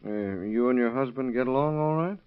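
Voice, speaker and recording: An actor playing a calm arson investigator in old radio drama, questioning in a matter-of-fact way. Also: male voice, mid-range voice, brisk, clear, resonant tone, lightly acted. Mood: businesslike. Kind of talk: 1930s radio acting. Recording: muffled vintage radio recording.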